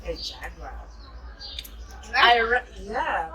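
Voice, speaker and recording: A woman's voice: mid-range voice, very animated, drawn-out words, bright, forceful tone, recorded from a distance. A South African woman reading a picture book aloud to young children and explaining it, encouraging them and confirming what they say.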